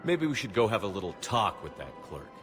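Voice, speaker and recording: An actor playing a steady, supportive military commander in a video game, calm, concise and sincere. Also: male voice, fairly low pitch, brisk, over music.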